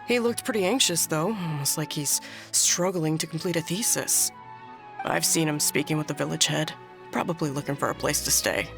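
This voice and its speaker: An actor voices a relaxed villager in an anime game, friendly and reassuring. The mid-pitched voice is creaky and laid-back, with music behind it. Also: lightly acted. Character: relaxed, friendly villager enjoying a restful retreat. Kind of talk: English dubbed anime game acting.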